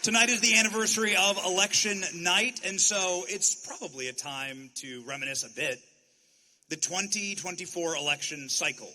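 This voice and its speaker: A man's voice: sits high, moderate pace, sharp tone, rough recording. A man hosting a panel, putting interview questions in articulate, fairly formal phrasing. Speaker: host moderating a stage panel.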